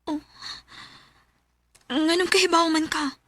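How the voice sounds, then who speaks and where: A woman's voice: very high-pitched, fairly even intonation, slow pace, pushed and bright, no echo, heavily acted. A woman with a Cebuano Filipino accent acting a guilt-ridden young widow in love in an emotive, sentimental radio drama. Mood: hesitant, guilty. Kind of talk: Philippine radio drama acting and first-person narration. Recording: music bed under the voice.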